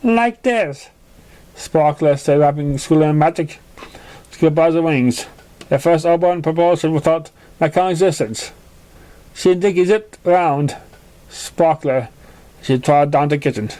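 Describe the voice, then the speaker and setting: A man's voice: on the high side, steady tone, moderate pace, dark tone. A man narrating a scripted story, sometimes stumbling over the words as he reads. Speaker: fan fiction reader.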